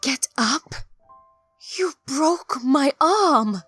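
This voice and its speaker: A woman playing an ancient female planeswalker, a reproachful former pupil, in a dramatic audio drama with deliberate intensity. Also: high-pitched, animated, fairly bright tone, no room sound, soft background music, full character voice. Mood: outraged.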